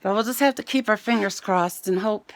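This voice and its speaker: A middle-aged American woman with a raspy voice, chatting casually to camera in a home vlog. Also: medium pitch, fairly quick. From United States. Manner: chatty, rambling, self-mocking. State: raspy voice today. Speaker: vlogger. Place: home, indoors.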